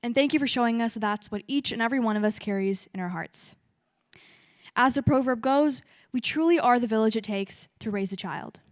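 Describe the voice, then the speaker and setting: Bright-voiced woman emcee, dry sound. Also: on the high side, a little fast, no room sound. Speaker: event emcee. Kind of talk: scripted introductions.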